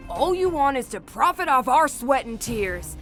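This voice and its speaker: A woman's voice: high-pitched, sing-song, moderate pace, fairly bright tone, full character voice. A woman voicing a defiant, plain-spoken female miner in a video game, earnest in manner. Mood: indignant, scornful. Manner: scolding, earnest. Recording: game music behind the voice. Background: background music.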